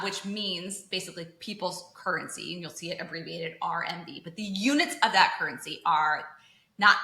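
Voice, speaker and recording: Woman in her 30s, podcast host, chatty, lots of asides, bright voice, roomy echo. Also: lowish voice. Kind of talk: casual explanatory chat.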